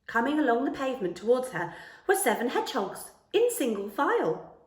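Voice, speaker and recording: A woman reads a children's book aloud in a fairly high voice. Her delivery is animated and theatrical, with careful phrasing. The recording has a roomy indoor echo. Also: a little fast. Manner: careful, dramatic storytelling delivery. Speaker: storyteller reading a children's book. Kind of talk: expressive read-aloud of a children's story. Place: small living room, indoors.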